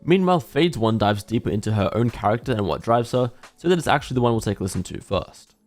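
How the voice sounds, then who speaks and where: Fast-talking low-voiced man over music. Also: expressive, a little mellow. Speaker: gaming lore commentator. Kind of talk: scripted video commentary.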